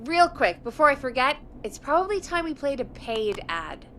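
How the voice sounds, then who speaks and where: An actress playing a chirpy, brisk radio show producer in a video game. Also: female voice, high voice, sing-song, a bit bright, a little hiss or hum. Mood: chirpy, helpful.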